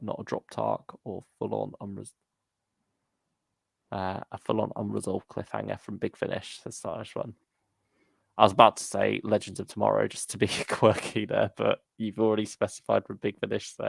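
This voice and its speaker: Casually chatting man with heavy vocal fry. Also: lowish voice, no room sound. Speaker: host of a fan discussion livestream. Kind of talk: casual chat.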